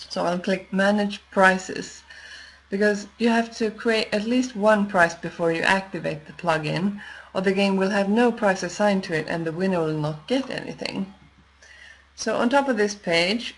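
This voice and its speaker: Slow-paced woman giving a software tutorial. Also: medium pitch, rough recording. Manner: measured, explanatory, pausing as she works. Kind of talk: unscripted step-by-step screen tutorial narration.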